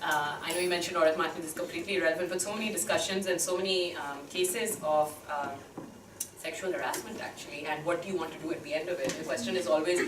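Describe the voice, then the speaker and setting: A woman's voice: low voice, steady tone, quick pace. A woman speaking as a panelist in an academic discussion, thoughtful and questioning. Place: university seminar room. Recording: echoey room, distant mic, background hiss.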